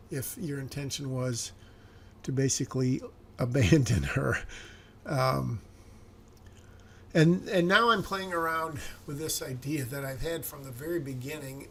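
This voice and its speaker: Man, screenwriter working aloud; a bit raspy and fry-heavy, halting, searching for words. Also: medium pitch, a little hiss or hum. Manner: musing, hesitant, self-correcting. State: slightly raspy voice. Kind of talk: thinking aloud while reviewing a script.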